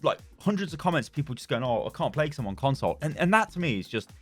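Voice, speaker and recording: A man's voice: mid-range voice, very animated, a bit bright, soft background music. Chatty British man, gaming commentary. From Britain. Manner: chatty, rambling, quick laughs and asides. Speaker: gaming YouTuber. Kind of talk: casual commentary reading forum posts.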